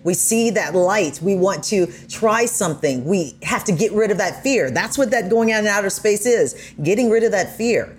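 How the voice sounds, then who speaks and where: A woman's voice: medium pitch, animated, normal speed, hardly any pauses, slight background noise. A woman guest on a podcast reflecting conversationally in an earnest, encouraging way.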